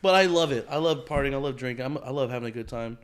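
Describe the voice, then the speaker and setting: A man's voice: mid-range voice, fast, clean tone. A man hosting a podcast in casual, profane, joking chat, full of slangy bro talk. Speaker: podcast host.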